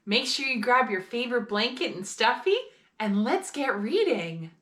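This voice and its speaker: A woman's voice: a little high, expressive, normal speed, a bit bright. A woman hosting a children's story time, speaking directly and encouragingly to young listeners as she introduces picture books. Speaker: children's story reader, host. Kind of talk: read-aloud for young children.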